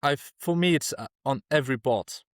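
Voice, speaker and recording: Man, from France, earnest, restarts sentences, mid pitch, dry close mic. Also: animated, moderate pace, no room sound. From France (Alsace). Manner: earnest, searching for words, frequent restarts. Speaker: guest activist. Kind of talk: podcast interview answers.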